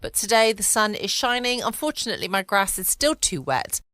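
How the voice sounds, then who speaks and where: A woman's voice: medium pitch, big pitch swings, fairly quick, pushed and bright, no echo. A woman hosting a casual bedtime podcast from home, chatty and rambling. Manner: chatty, rambling, light laughs. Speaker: podcast host.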